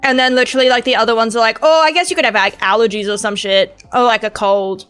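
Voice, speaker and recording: A woman's voice: on the high side, steady tone, fast, fairly bright tone, clean tone, soft background music. A woman streamer with a sore throat, chatting casually in a rambling way, swearing and laughing.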